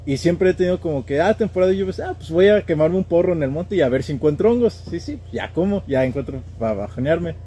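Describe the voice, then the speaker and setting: A man's voice: fairly high pitch, lively intonation, fast, few pauses, dark tone, slight background noise. A young man with a central Mexican accent, telling stories in a casual, slangy way as a podcast guest. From State of Mexico, Mexico. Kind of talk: casual conversational storytelling.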